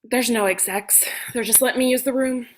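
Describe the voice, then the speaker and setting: Woman playing a jaded, sharp-tongued TV star, higher-pitched. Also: a little fast, lightly acted, low-quality recording. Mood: scornful. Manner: deadpan, sarcastic. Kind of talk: comedy script table read.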